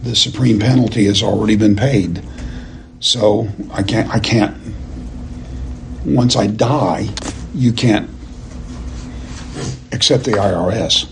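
A man teaching a Bible class verse by verse, speaking in a deliberate, halting and emphatic way and putting questions to his listeners. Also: lowish voice, gravelly, noisy background. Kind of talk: expository lecture to a class, verse by verse.

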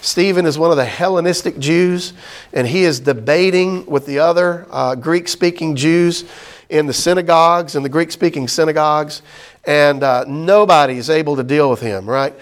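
A man's voice: fairly high pitch, moderate pace. A middle-aged man, teaching a Bible class in a loose, conversational way with many fillers and restarts. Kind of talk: casual Bible study lecture.

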